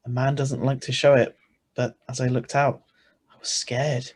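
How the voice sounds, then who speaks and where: An actor playing a tense, protective husband in an old-style radio thriller, urgent and plain-spoken. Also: male voice, medium pitch, little pitch movement, moderate pace, darkish tone, breathy edge, close to an everyday voice. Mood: grim narrating. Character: tense, protective ordinary husband, hard-boiled narrator. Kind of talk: 1940s-style radio drama acting and narration. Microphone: video call.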